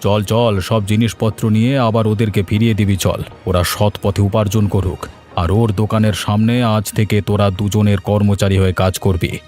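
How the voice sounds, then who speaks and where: A man's voice: fairly low pitch, little pitch movement, normal speed, a little mellow, faint music behind, natural voice. A man voicing a blunt, authoritative village headman in a cartoon. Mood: stern, scolding. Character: stern, bossy male village headman who loves food. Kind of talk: Bengali cartoon voice acting.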